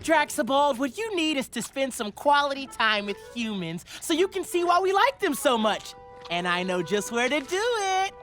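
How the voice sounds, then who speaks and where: A man voicing a sunny, excitable teenage turtle in a cartoon, upbeat and coaxing, with theatrical flourishes. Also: extremely high pitch, moderate pace, over music. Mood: cheerful coaxing. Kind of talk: animated cartoon voice acting.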